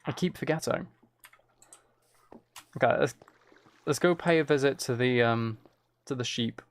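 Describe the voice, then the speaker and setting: Male game streamer, gravelly medium-pitched voice, low-key casual chat, close mic with keyboard clicks. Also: unhurried. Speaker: streamer playing a game while chatting with viewers.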